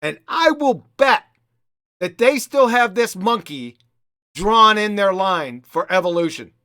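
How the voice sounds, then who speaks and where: A man hosts a live commentary show in a mocking, emphatic way. His pitch swings widely and he repeats short phrases for punch. The sound is close and dry. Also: lively intonation, slow, pushed and bright, no echo. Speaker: host of a live debunking show.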